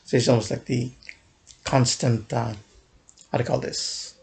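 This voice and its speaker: A man's voice: medium pitch, level tone, unhurried, gravelly, darkish tone. A young man casually recounting a fan event in a vlog, rambling with many fillers and restarts, often chuckling. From United States.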